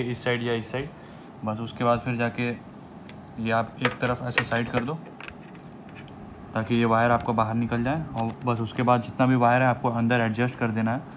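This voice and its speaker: A young man in a casual vlog, walking viewers through a gear setup step by step, chatty and repetitive, calling them "guys". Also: mid-range voice. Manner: chatty, repeats words, says "guys" often. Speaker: motovlogger. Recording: steady background noise.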